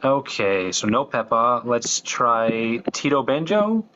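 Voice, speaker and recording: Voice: medium pitch, sing-song, slow pace, highs cut off, noisy background. A live stream host, laid-back and joking, asking viewers for follows and likes. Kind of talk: casual live stream hosting.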